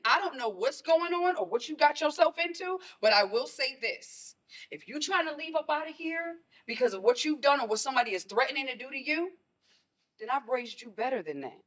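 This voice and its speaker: A woman playing a stern, protective mother in a stage play, scolding and pressing with pointed questions. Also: sits high. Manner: forceful, scolding, rhetorical questions.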